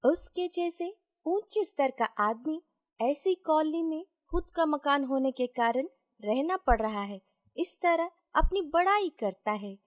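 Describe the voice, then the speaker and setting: Slow, high-voiced woman narrating a story. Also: expressive. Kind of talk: scripted narration of a moral story.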